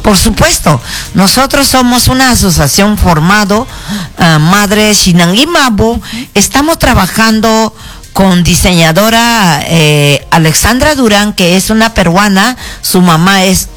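Earnest Shipibo-accented woman, halting speech. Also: mid-range voice, animated, a bit bright, soft background music. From Peru. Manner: earnest, halting, frequent "¿no?" tags and restarts. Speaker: artisan association president, guest. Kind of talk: radio interview.

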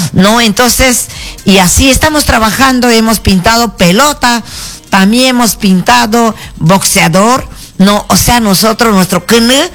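A woman from Peru whose first language is Shipibo, speaking Spanish with a Shipibo accent as a guest in a radio interview. She talks in an earnest, halting way, with many restarts and "¿no?" tags. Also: on the high side, fairly bright tone. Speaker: artisan association president, guest.

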